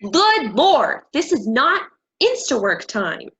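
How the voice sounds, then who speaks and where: A woman's voice: high-pitched, big pitch swings, slow pace, fairly bright tone, heavily acted. A woman playing a haughty, scornful, condescending character in a staged play reading. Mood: disgusted. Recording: dull lo-fi recording.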